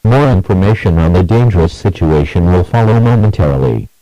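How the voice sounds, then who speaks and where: Male emergency announcer, low voice, mellow dark tone, measured reading, scripted alert. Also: muffled recording, slight background noise. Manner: measured, even reading of lists and warnings.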